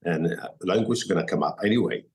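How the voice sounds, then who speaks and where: A man from Morocco with a Moroccan accent and Arabic as his first language, telling his story as a podcast guest in halting, filler-filled English. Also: sits low, creaky, darkish tone. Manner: halting non-native English, many fillers and restarts. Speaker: guest chef. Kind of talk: casual podcast interview.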